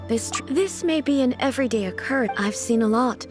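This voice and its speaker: A woman's voice: on the high side, lightly acted. A woman voicing a regal, ageless shogun goddess in an anime-style game, speaking in a formal, earnest, naive manner. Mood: reflective. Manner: formal, earnest, measured wording. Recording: music behind the voice. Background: music.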